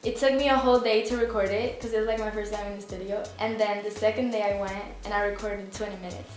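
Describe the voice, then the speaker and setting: A young woman who grew up in Spain, chatting casually and softly as an interview guest, with many filler words. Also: mid-range voice, flat delivery, fast. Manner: soft-spoken, laid-back, lots of "like" fillers. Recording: echoey room, faint music behind.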